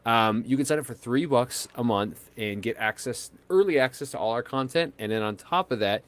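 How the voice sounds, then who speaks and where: A man's voice: medium pitch, animated, moderate pace. A man co-hosting a podcast in casual chat, rambling and hesitant, with many fillers and restarts. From United States. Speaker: podcast co-host.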